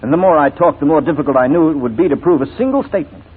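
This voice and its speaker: Sharp urgent gentleman in an old radio play, quick, husky, tinny narrow sound. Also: fairly high pitch, animated. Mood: earnest explaining. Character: clever, intense titled gentleman, wrongly suspected, coolly persuasive. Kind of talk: 1940s radio drama acting. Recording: thin, phone-like 1940s radio recording.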